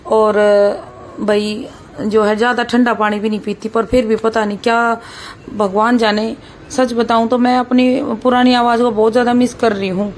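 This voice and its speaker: A hoarse-voiced woman, chatting away to her viewers as she works in her kitchen. Her voice sits a little high and stays fairly flat in tone, and she drops in "bhai" over and over in a loose, homely way. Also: normal speed, a little hiss or hum. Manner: chatty, keeps saying "bhai", talks while cooking. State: hoarse, sore throat. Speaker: vlogger. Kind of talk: casual vlog chat.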